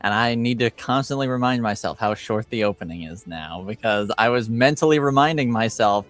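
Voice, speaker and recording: A man's voice: medium pitch, expressive, a little fast, a bit bright. A man speaking casually and informally as game master and host, introducing a tabletop game stream. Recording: music behind the voice. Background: music.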